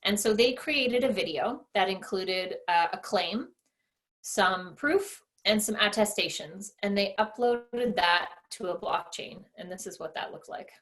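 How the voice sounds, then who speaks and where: A woman's voice: mid-range voice, a little slow, a bit bright. A woman giving a presentation, explaining things with enthusiasm. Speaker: conference speaker. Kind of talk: presentation talk.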